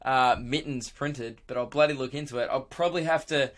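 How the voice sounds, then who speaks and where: A young man with a hoarse, worn-out voice, rambling excitedly on his own podcast, laughing and swearing as he goes. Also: medium pitch, fairly quick. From Australia. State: hoarse, lost voice. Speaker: podcast host and radio presenter. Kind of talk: casual solo podcast chat.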